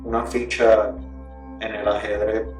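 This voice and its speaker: A Puerto Rican man giving a thoughtful testimony, pausing often with fillers. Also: on the low side, completely flat delivery, darkish tone. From Puerto Rico. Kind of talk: reflective testimony. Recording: roomy distant mic with guitar music.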